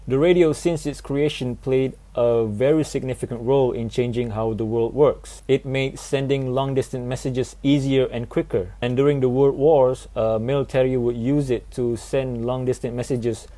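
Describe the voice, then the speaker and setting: A young man delivers a student presentation in an explanatory style. His voice is soft-edged and mellow, with a mid-range male pitch. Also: fairly even intonation, moderate pace, hardly any pauses. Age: 20s.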